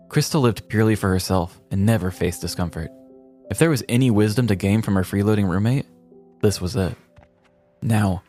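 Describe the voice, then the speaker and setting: A man with a low voice narrates a scripted comedy tale. He delivers it with mock drama as a podcast storyteller, over a bed of music. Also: a little mellow.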